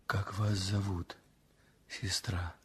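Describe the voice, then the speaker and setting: An actor playing a weak, bashful hospital patient in a 1960s radio drama, halting and apologetic. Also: male voice, deep voice, little pitch movement, a bit bright, husky, heavily acted. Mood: weak, shy. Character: weak, bashful male patient after surgery.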